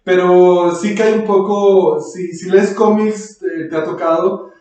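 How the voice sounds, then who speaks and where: High-pitched Mexican man, echoey and distant. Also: fairly even intonation, takes it slowly, a little mellow. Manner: rambling, hesitant, slangy. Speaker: podcast co-host reviewing an audio drama. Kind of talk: casual chat.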